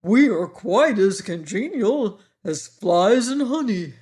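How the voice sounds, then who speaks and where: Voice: medium pitch, lively intonation, slow, a little mellow, pitched above the performer's own voice. A reader doing a touchy pumpkin-headed man. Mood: prim. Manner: prim, then easily offended.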